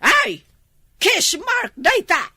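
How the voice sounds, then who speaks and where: A woman with an Ilocano accent playing a jealous, scolding older village wife in an amateur comedy drama, with an exasperated, drawn-out delivery. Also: very high voice, big pitch swings, pushed and bright, no echo, studio quality, full character voice. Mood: angry scolding. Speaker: actor in comedy skit.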